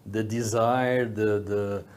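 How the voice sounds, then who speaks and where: Low-voiced man, halting in thought. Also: fairly even intonation, a little mellow. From Brazil, grew up in Rio. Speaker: interview guest, hotel executive. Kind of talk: conversational interview answers.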